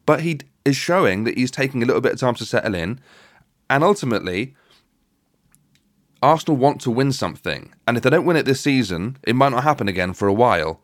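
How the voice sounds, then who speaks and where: A man's voice: medium pitch, a bit bright, no echo, clean studio sound. A man hosting a football podcast, chatting casually and conversationally, often putting leading questions to his co-host.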